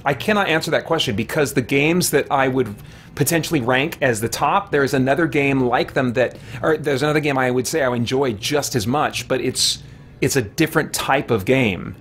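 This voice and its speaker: A man in his thirties or forties chatting casually on a game livestream, thinking aloud in a halting way. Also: medium pitch, a bit bright, slight background noise. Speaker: video game live streamer. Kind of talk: casual livestream chat while gaming. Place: small indoor room with shelves.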